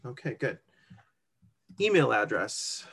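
A man's voice: medium pitch, very animated, slow pace, vocal fry, fairly bright tone, slightly rough. A man co-hosting a casual online walkthrough of an application form, thinking aloud and laughing at his own slips. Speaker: co-host walking through an online immigration form. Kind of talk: casual screen-share walkthrough.

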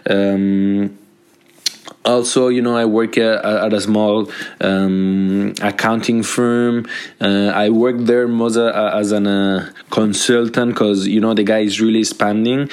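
A man's voice: fairly low pitch, little pitch movement, slow pace, a little mellow, smooth. Colombian man hosting a rambling podcast. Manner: rambling, many restarts and fillers; upbeat, motivational. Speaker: podcast host. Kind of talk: casual solo podcast talk. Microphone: podcast microphone.

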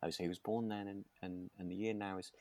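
A man with a low voice in a very dry recording. He chats casually as a podcast co-host, agreeable and a little hesitant as he rambles through his thoughts. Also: flat delivery, fast talker, darkish tone, no echo. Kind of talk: relaxed casual discussion of a radio drama.

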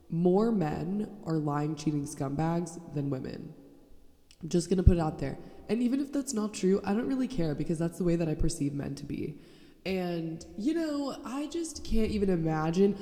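A woman's voice: fairly low pitch, mellow. Sarcastic young woman with a stuffy nose. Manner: blunt, sarcastic, swears freely. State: nasal from a cold. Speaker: podcast host. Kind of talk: casual solo podcast rant. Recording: echoey roomy sound, mic held wrong.

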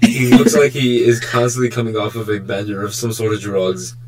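A young man hosting a casual podcast, riffing excitedly and swearing as he jokes with friends. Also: lowish voice, moderate pace, poor audio. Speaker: podcast host. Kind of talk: casual joking group banter.

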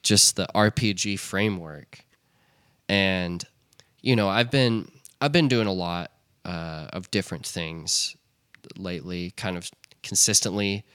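Bright-toned young man, casual podcast ramble. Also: sits low, measured pace, smooth, dry recording, clean studio sound. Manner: rambling, many restarts and "like". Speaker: podcast co-host. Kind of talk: casual chat.

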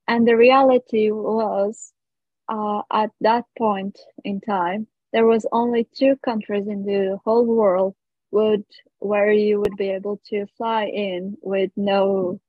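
A woman from Portugal with a Portuguese accent, a guest casually telling her own story. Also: mid-range voice. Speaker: guest, missionary. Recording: roomy, dull lo-fi audio.

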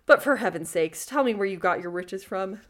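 A woman's voice: mid-range voice, expressive, fairly quick, close to an everyday voice. A woman reading the part of a vexed, grudging rich man in a storybook folktale. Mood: indignant, demanding.

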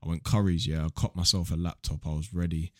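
A man's voice: low voice, steady tone, quick pace, a little mellow, no room sound, clean studio sound. A young man with a southern English accent chatting casually on a podcast in a blunt, slangy, sweary way. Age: young adult. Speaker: podcast guest, online video creator.

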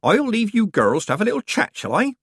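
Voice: mid-range voice, huge pitch swings, brisk, slightly rough, no room sound, clean studio sound, voice pushed up, heavily acted. A reader voicing a sly, mischievous old woman witch who speaks in a teasing, coy way.

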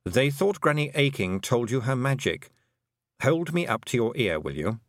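A man voicing a dry, knowing male talking toad who murmurs in a low, confiding way. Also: very animated, moderate pace, no echo, close to an everyday voice.

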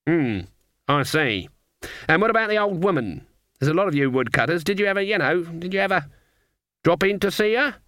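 A man's voice: sits high, animated, fairly quick, long pauses, fairly bright tone, dry recording, studio quality, pitched above the performer's own voice. A man doing a sly old witch woman. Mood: mock-innocent. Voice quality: sing-song, falsely innocent, folksy dialect. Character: shrewd old woman witch, sweetly menacing.

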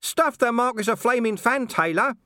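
A scruffy little talking dog, yappy and blunt, voiced by a man, pitched very high and loud with excitement. His voice is dry and close. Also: normal speed, dry recording, exaggerated voice. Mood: scornful.

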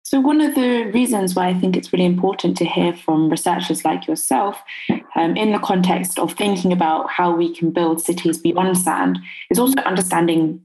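A woman hosting an interview podcast, speaking in measured, considered questions. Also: fairly low pitch, a little mellow, rough recording. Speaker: podcast host.

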